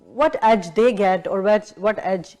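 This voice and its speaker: A woman with a medium-pitched voice hosts a casual interview. She asks her questions in an informal, loosely phrased way, in a conversational register. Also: measured pace, a little mellow. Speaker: interview host.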